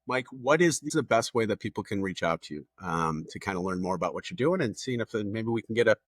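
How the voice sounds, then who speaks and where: A man's voice: on the low side, expressive, quick pace, dry recording, clean studio sound. A man hosting a business podcast, asking long, rambling questions with enthusiasm. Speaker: podcast host. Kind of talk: casual interview talk.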